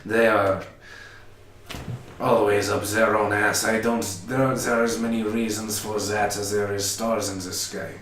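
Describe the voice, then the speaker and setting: Guy doing a low raspy old vampire, fake german accent, condescending, echoey room far from mic. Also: little pitch movement, measured pace, a bit bright. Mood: sardonic. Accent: quasi-German. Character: ancient male vampire with a rasping wheeze.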